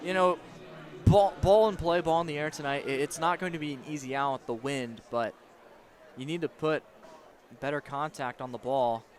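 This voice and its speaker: A man's voice: a little high, moderate pace. A man giving analytical, critical baseball color commentary in a conversational way.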